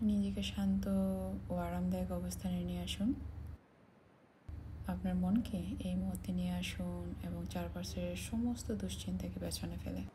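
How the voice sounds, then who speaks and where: A woman leading a guided meditation in a soothing, unhurried way with long pauses. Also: on the low side, fairly even intonation, noisy background. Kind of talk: slow guided meditation narration.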